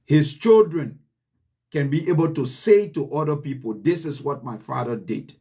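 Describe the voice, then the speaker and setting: A man with a Liberian accent giving an earnest, deliberate motivational talk to his channel's viewers, repeating his key points for emphasis. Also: a little high, very animated, mellow, husky. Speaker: channel host. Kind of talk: motivational address.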